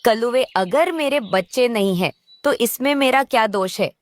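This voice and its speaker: A woman's voice: on the high side, expressive, moderate pace, no room sound, lightly acted. A woman voicing an irritable, envious female crow in a children's cartoon, complaining and coaxing. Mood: irritated.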